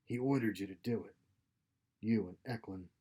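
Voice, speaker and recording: Man voicing a bitter, mellow starship engineer. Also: lowish voice, moderate pace, slightly rough. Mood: resentful. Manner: resentful, weary, sardonic. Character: bitter, wounded male starship engineer and doctor. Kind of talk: short story read aloud.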